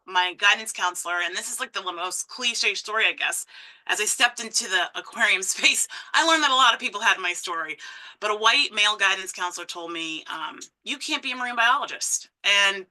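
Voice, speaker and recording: Woman, thirties, from Massachusetts, personal talk, very bright tone, filler-heavy storytelling. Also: mid-range voice, animated, normal speed, rough recording. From Massachusetts, USA. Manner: warm storytelling with frequent ums and restarts. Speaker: conservation director and speaker.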